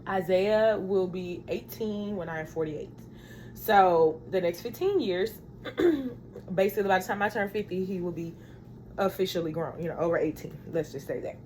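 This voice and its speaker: A woman's voice: lowish voice, animated, moderate pace, a little mellow, low-quality recording. A woman in her thirties chatting casually to her vlog viewers in a rambling, confiding way, calling them y'all. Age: 30s. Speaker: vlogger. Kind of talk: casual chat to camera. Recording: faint background noise.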